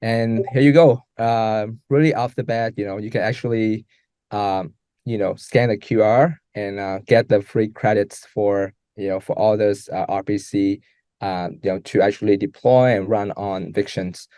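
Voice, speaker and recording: A man hosting an event off the cuff, speaking in a halting way with many fillers. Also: fairly low pitch, steady tone, mellow, no room sound. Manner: halting, filler-heavy speech. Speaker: hackathon host.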